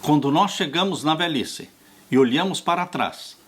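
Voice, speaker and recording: An older man in his sixties preaches slowly and earnestly, speaking to his congregation as dear ones. His voice is husky and sits a little high for a man. His pitch swings widely as he stresses each point. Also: lively intonation. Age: 60s. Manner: earnest, slow pastoral preaching, warmly addressing listeners as "dear ones". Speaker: pastor. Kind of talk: sermon on a Bible study lesson.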